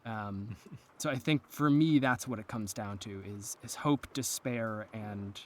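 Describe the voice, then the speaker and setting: A man speaking as a podcast guest, discussing literature in an earnest, eloquent and deliberate manner. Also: on the low side. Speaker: podcast guest, audio drama maker.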